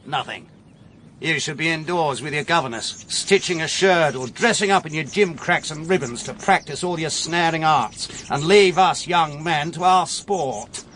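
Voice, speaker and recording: An actor playing a swaggering, callous English gentleman hunter in a period audio drama, sneering and domineering. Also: on the high side, normal speed, slight background noise, close to an everyday voice. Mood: dismissive. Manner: sneering, domineering, scornful of women. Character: swaggering, callous country uncle, a hard-riding gentleman hunter.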